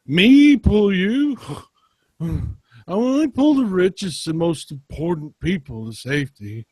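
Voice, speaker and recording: A man's voice: high-pitched, lively intonation, slow pace, darkish tone, breathy edge, dry recording, heavily acted. A man voicing a haughty, dismissive train engine in a spoof storybook.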